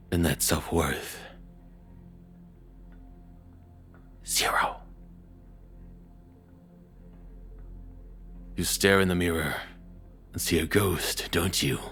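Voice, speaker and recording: A man playing a cruel, controlling ex-boyfriend in an intimate audio roleplay, taunting and belittling slowly in a breathy, husky voice that drops toward whispers. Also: extremely low pitch, a bit bright, exaggerated voice. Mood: menacing, degrading. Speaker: voice actor.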